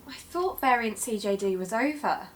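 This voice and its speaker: An actress playing an earnest, sympathetic investigative podcaster in an audio drama, questioning in a tentative way. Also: female voice, fairly high pitch, lively intonation, normal speed, a little hiss or hum, lightly acted.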